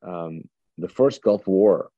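A middle-aged man from Kuwait whose first language is Urdu, a pastor telling his story in a casual interview, lively and often restarting sentences. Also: low-pitched, slow, no echo, phone-quality sound. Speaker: pastor, guest.

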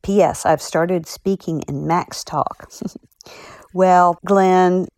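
Lower-voiced woman, chatty author segment. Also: fairly even intonation, very slow, a little mellow, dry recording. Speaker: author with a regular podcast segment. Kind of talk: chatty segment, reading out letters.

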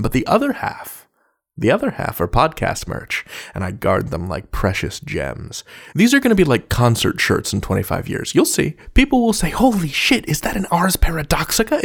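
A man's voice: mid-range voice, creaky. A man hosting a podcast in a chatty style, with playful, wry and slightly theatrical phrasing. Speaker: podcast host. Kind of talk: chatty podcast outro narration.

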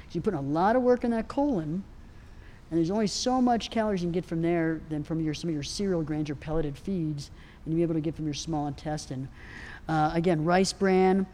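Soft-spoken woman with a mellow voice. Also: deep voice, brisk, slight background noise. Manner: soft-spoken, rambling with many fillers. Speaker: equine nutrition expert answering questions. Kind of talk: live panel Q&A. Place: outdoor event festival.

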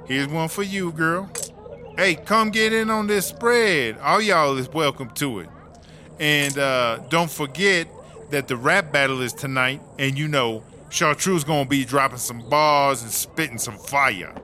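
A man performs a sassy woman inmate in a comedy audio drama. She is bossy and slangy, with a fairly high, sharp, forceful voice. Faint background noise sits underneath. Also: measured pace. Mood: bossy, inviting. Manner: slangy, emphatic, self-promoting. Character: sassy, bossy, hustling female inmate cook.

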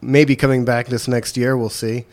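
Mid-pitched man chatting casually. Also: level tone, normal speed, darkish tone. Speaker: occasional radio host. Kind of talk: casual self-introduction.

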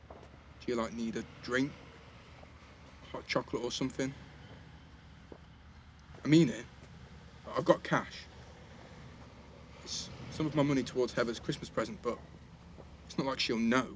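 A man's voice: medium pitch, lightly acted. Teasing, chatty teenage boy, acted by a man, over traffic noise. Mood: teasing, probing. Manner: casual, slangy, teasing. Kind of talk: naturalistic audio drama acting.

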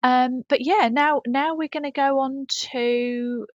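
A woman's voice: sits high, steady tone, slow, no echo, lo-fi sound. A woman co-hosting a podcast in casual comic chat, hesitant and drifting into asides. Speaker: podcast co-host.

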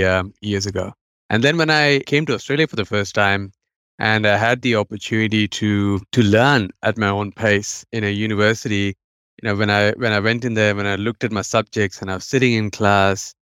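A middle-aged man with an Indian accent speaks in a low, fairly flat voice, thinking aloud as an interview guest. His tone is smooth and clear, and the recording is close and dry. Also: no echo. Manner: reflective, many restarts and "you know". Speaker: guest, mental health educator. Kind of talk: podcast interview.

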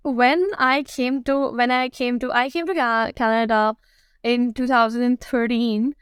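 A woman's voice: a little high, fairly even intonation, a little slow, clean tone, no echo, studio quality. Pakistani woman, hesitant and chatty. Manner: hesitant, many fillers and restarts. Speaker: guest, food blogger. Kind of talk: casual interview answers.

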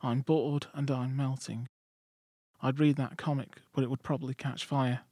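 A man's voice: mid-range voice, little pitch movement, moderate pace, warm tone, husky, no room sound, studio quality, lightly acted. A man playing a bored, sarcastic teenage boy with a Stoke accent, talking in a glum way. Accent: Stoke-on-Trent.

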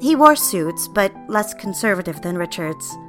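A woman playing a refined, smitten young career woman in a mid-century period audio drama, speaking with measured, demure poise. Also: mid-range voice, sing-song, soft background music, natural voice. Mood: wistful, admiring. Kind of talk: period audio drama with first-person narration.

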